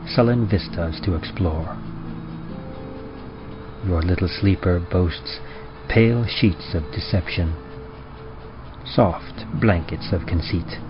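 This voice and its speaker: A man narrating horror in a dramatic old-time radio style, with a grave, deliberate and ominous delivery. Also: sits low. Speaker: horror story narrator and presenter. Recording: muffled, with prominent music behind. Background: music.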